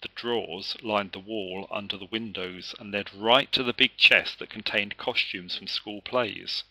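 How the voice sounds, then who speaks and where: A man reading his children's story aloud as an author, with expressive narration. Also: fairly low pitch, a little slow, gravelly, slightly rough, no room sound, low-quality recording.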